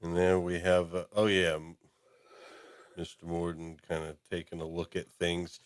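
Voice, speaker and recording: A man's voice: sits low, level tone, moderate pace, clear, resonant tone, no echo. A man hosting a podcast, giving a casual, rambling recap of a TV episode with frequent ums and uhs. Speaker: podcast host.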